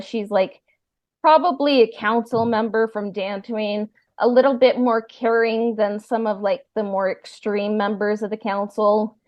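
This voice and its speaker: A woman's voice: mid-range voice, a little mellow. A woman co-hosting a podcast in casual, hesitant talk peppered with "like" and "you know". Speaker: podcast co-host. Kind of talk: casual chat.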